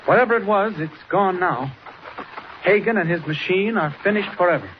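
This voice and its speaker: An actor playing a genial, confident newspaper reporter in a 1940s radio serial. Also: male voice, sits high, lively intonation, moderate pace. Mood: assured. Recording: noisy, muffled old radio recording.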